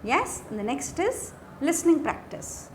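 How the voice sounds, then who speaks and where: A woman with an Indian accent teaching a lesson from slides in a deliberate way, repeating key phrases. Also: high-pitched, very animated, darkish tone, slight background noise. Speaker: teacher, lecturer. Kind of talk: instructional lesson explaining slides.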